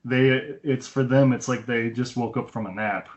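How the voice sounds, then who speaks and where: Slightly dull, lo-fi internet call audio carries a man with a mid-pitched voice. He co-hosts a film podcast, talking in a loose, rambling, conversational style. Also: steady tone, moderate pace. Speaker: podcast co-host reviewing films. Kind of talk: casual film discussion.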